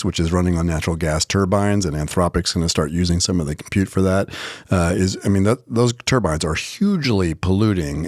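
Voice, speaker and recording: Deep-voiced American man, podcast co-host. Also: a little mellow, dry recording, studio quality. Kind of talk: casual podcast discussion.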